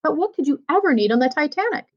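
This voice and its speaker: A woman with a fairly high voice reads a children's story aloud. Her delivery is expressive, in the manner of a school librarian. The recording is a little dull and lo-fi. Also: fast talker. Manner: expressive, acts out dialogue. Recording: dull lo-fi sound.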